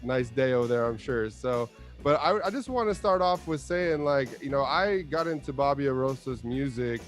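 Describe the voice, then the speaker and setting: A man's voice: medium pitch, animated, moderate pace, faint music behind. A man hosting an interview show over a video call, talking in an eager, loose way with lots of fillers. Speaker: show host.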